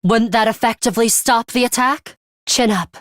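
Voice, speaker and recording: A woman voicing a cold, aloof young woman fighter in a video game, with a composed, formal, deadpan and disdainful manner and a bloodthirsty streak. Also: a little high, normal speed, no room sound, studio quality, close to an everyday voice. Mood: resolute. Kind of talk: English video game voice acting.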